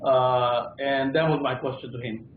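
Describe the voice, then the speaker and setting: A panellist on a call-in discussion show, a man speaking slowly and haltingly with many fillers. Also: medium pitch, steady tone, slight background noise. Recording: tinny echoey phone-like line.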